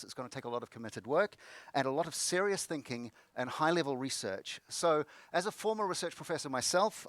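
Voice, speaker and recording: A middle-aged man speaks with a New Zealand accent, moderating an online summit with upbeat, practiced ease. His voice sits at an ordinary pitch. The sound is dry and close. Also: fairly bright tone, no room sound. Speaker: conference moderator. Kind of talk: scripted conference hosting.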